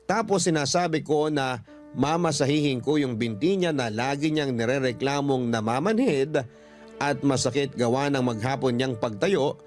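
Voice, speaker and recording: Male radio host for dramatic letter readings, mid-pitched, earnest and sentimental, music underneath. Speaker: radio host and story narrator. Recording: music bed behind the voice.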